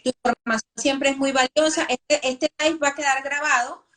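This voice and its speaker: A woman's voice: high voice, fairly even intonation, moderate pace, fairly bright tone. A woman hosting a live interview, asking long, winding questions with many fillers. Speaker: host.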